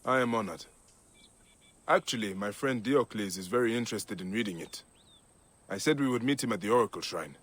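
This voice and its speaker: An actor playing a calm, resolute ancient warrior protector with a measured, dry-witted way of talking in a video game. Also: male voice, lowish voice, breathy edge. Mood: calm, wry. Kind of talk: video game cutscene acting.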